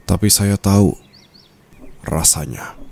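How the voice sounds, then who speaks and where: An actor with an Indonesian accent playing a calm, teasing and tender mafia boss in an intimate ASMR roleplay, speaking softly and slowly with pauses. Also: male voice, a little hiss or hum, heavily acted. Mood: confiding, somber. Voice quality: very deep, creaky voice.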